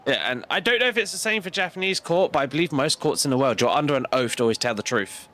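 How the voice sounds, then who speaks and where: A male streamer talks loosely to his viewers after a session, his voice worn raspy from a long stream. His tone is bright and animated, moving up and down as he rambles. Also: fairly high pitch, quick pace. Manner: relaxed, rambling chat to viewers. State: raspy, coughing. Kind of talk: casual gameplay commentary.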